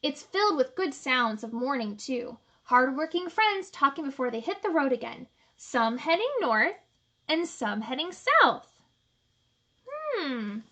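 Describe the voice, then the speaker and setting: A woman's voice: high-pitched, unhurried. A woman hosting a children's storytime, reading aloud expressively with chatty, encouraging asides. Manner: expressive, encouraging, asks the listeners questions. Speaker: storytime host reading picture books to children.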